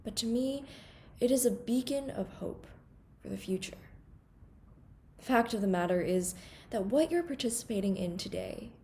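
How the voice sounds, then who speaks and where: A teenage woman from Hong Kong delivering a prepared welcome speech at a student conference in an earnest, formal, measured way. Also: fairly high pitch, animated, mellow, slight background noise. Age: teens. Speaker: conference undersecretary general giving a welcome speech.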